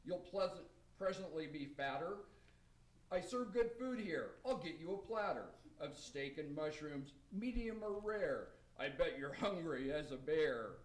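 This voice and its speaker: A man's voice: a little high, lively intonation, measured pace. A man playing a jolly, hospitable old Santa Claus with a hearty, rhyming delivery in amateur radio theater. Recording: distant, roomy live radio stage recording.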